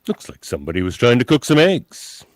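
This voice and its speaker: A man playing a brisk, commanding older starship captain in an amateur radio play, with theatrical emphasis. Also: medium pitch, huge pitch swings, dry recording. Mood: brisk, casual.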